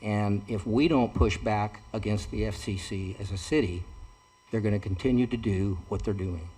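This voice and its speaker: A man speaks during public comment at a city council meeting in a council chamber. His voice sits on the low side, and he earnestly urges the council and the audience to act. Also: normal speed, mellow, slightly rough, rough recording. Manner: earnest, urging.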